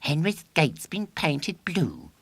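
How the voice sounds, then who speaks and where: A man's voice: fairly high pitch, huge pitch swings, takes it slowly, creaky, a bit bright, slightly rough, dry recording, voice pushed up, heavily acted. A man voicing a sceptical young boy heckler in a children's storybook, doubting and grumbling. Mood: feeble criticism.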